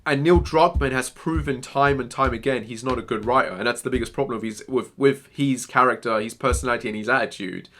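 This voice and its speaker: A man's voice: medium pitch, brisk, few pauses, a bit bright. A young man giving rambling, emphatic casual commentary, often repeating himself as he talks. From Germany-born Iranian, raised in England. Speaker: gaming commentator.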